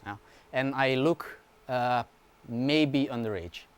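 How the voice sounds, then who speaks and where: A man's voice: medium pitch, takes it slowly, clean tone. Guy in his 20s giving a slide talk, casual, lots of um and yeah? right?. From Belgium. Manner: casual, informal explaining with frequent "yeah?" and "right?" check-ins; fillers and restarts, light humor. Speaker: university researcher giving a talk.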